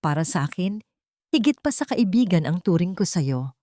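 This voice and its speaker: A woman voicing a frail, gentle elderly mother who speaks tenderly in an audio drama. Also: fairly low pitch, sing-song, normal speed, dry recording, clean studio sound. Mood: warm, fond.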